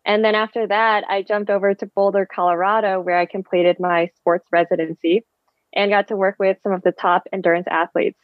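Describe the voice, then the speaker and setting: A woman's voice: medium pitch, flat delivery, fairly quick, no echo. A woman in her thirties, born in Vietnam and raised in the Bay Area with Vietnamese as her first language, speaking as a friendly podcast guest over a video call. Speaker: guest, physical therapist and running coach. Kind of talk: casual interview chat. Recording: dull video-call audio.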